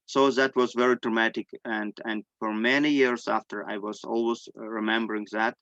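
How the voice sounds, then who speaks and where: Halting, gravelly man recounting his past. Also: on the low side, little pitch movement, a bit bright, dry recording, highs cut off. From Armenia. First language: Armenian. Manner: halting, searching for words in non-native English. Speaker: guest sharing personal testimony. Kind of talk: personal storytelling in an online discussion.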